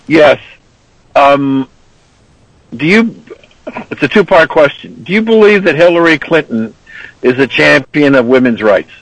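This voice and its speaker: A man with a fairly high voice debates politics, heard a little off the mic in a dry sound. He presses his points with insistent, pointed questions. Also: expressive, a little slow, creaky, long pauses, no echo, far from the mic, low-quality recording. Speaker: panel guest.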